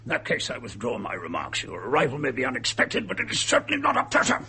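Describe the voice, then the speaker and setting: An actor playing a cantankerous, imperious English lord in a radio drama, pompous, sputtering and dismissive. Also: male voice, mid-range voice, wildly swooping pitch, quick pace, vocal fry, pushed and bright, breathy edge, faint music behind, heavily acted. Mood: scornful, irate.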